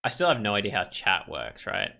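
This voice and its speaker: Male let's play host, lowish and bright, rambling casual commentary. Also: big pitch swings, brisk, creaky. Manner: rambling, thinks aloud.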